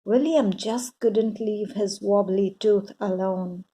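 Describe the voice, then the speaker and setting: A woman reading a children's bedtime story aloud in a deliberate, expressive way for young listeners. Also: medium pitch, slightly rough.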